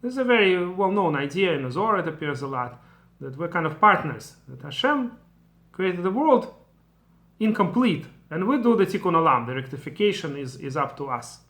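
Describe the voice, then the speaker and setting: Man teaching, bright voice. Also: on the high side, big pitch swings, moderate pace. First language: Russian. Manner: digressive, thinking aloud with asides. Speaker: Torah teacher. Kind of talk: explanatory lecture.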